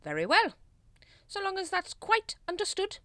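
A grand, exasperated master of a secret cult, acted out by a woman, pitched very high and forcefully loud. He is imperious and sarcastic, declaiming like a storybook tyrant who has run out of patience. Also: huge pitch swings, normal speed, no room sound, heavily acted. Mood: weary sarcasm. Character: pompous, exasperated male leader of a secret cult. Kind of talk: storybook character voice in audiobook.

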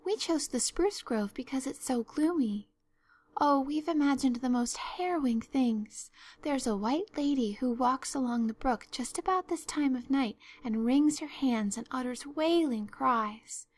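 A woman's voice: high voice, unhurried, voice pushed up. A woman voicing an imaginative, earnest young girl in a storybook, talking in an effusive, theatrical, pleading way. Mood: fearful, dramatic. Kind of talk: storybook narration.

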